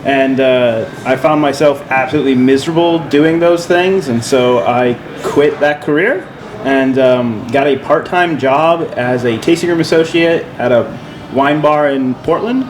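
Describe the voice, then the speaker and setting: A male winemaker co-hosting a podcast, telling his story in a relaxed, rambling way with many fillers. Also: mid-range voice, a little slow. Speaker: winemaker and co-host. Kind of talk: casual podcast chat. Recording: steady background noise.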